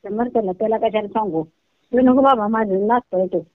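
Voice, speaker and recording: A woman's voice: mid-range voice, normal speed, no room sound. An older woman with a rural Kolhapur Marathi accent chatting casually on the phone in a blunt, earthy, colloquial way. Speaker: prank call recipient, video creator. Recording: narrow, tinny phone line.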